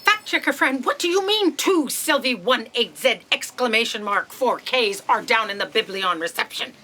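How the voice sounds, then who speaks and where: A woman playing a brisk, questioning editor in a comedy audio drama. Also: a little high, expressive, bright, forceful tone.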